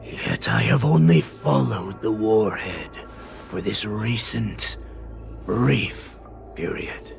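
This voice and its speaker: Very slow, gravelly beast warrior. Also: male voice, lowish voice, lively intonation, full character voice. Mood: solemn reverence. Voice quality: gravelly growl. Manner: slow, dramatic, menacing. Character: savage wolfish beast warrior, grim, defiant, reverent hunter. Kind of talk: video game voice acting. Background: game music.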